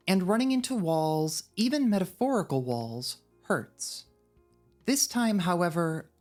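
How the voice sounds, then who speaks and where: A woman's voice: medium pitch, darkish tone. A woman narrating a scripted video essay in a wry, drily humorous manner. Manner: wry, dry humour.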